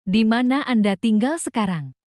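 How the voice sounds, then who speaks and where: A woman reads short lesson phrases with a lively, varied melody. Her voice is a bit higher than average, and the recording is close and dry. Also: brisk, no echo. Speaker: translation narrator. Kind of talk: reading phrase translations for a language lesson.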